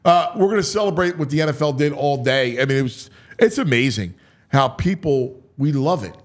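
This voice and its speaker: A man's voice: a little high, expressive, fairly quick. A man hosting a casual sports talk show, speaking emphatically and conversationally and repeating phrases for emphasis.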